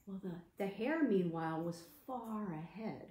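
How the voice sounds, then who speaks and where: A woman hosting an interactive storytime as a children's librarian, talking in an animated, sing-song way to small children. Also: mid-range voice, unhurried, echoey room.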